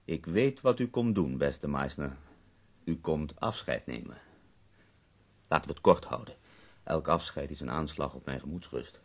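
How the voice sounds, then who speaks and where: An actor playing a bedridden, dying poet in a radio drama, ironic, eloquent and given to complaint, with a gravelly, husky voice. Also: male voice, very deep voice, animated, full character voice. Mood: resigned. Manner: eloquent, wry, drawn-out pauses.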